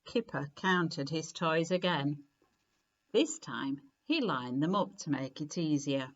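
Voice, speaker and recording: A woman reading a children's storybook aloud in an expressive, deliberate way, with clear phrasing and dramatic emphasis. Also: low-pitched, highs cut off. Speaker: story reader.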